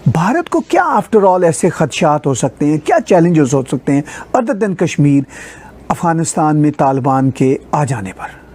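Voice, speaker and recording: A husky man putting questions in a political interview. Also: fairly high pitch, big pitch swings, normal speed, a little mellow.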